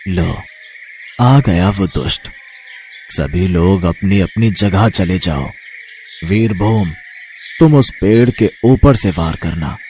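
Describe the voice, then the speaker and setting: A man playing an elderly guru in a storybook tale, calm, fatherly and brave, speaking in a measured, gently commanding way. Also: deep voice, slight background noise. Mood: grave, commanding. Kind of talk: animated storybook character acting.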